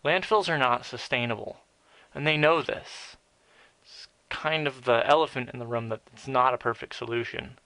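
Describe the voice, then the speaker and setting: A man's voice: mid-range voice, moderate pace, sharp tone, no room sound, rough recording. A man narrating an opinionated scripted commentary in a blunt, candid, casually profane manner. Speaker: video essayist, narrator.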